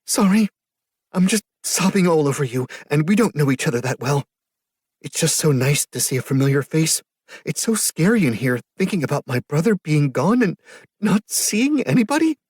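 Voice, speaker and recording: A grieving young woman cries and pleads in a high, shaky voice, as a male reader puts her on. Her words tumble out between sobs, and a husky grain sits under the strain. The reading is dry and close, with no room around it. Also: very animated, normal speed, pauses often, darkish tone, no echo. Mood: distraught. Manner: teary, sobbing, breathless pleading.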